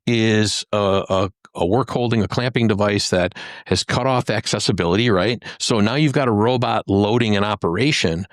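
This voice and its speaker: A man's voice: fairly low pitch, moderate pace, no echo, clean studio sound. A man co-hosting a podcast, chatting casually and explaining things as a trade expert. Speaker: podcast co-host, work holding expert.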